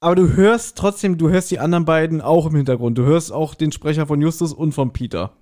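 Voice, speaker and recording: Man, slightly high-pitched, podcast co-host, casual chat, animated retelling, quotes dialogue in character. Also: fast. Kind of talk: casual podcast chat recapping an audio drama.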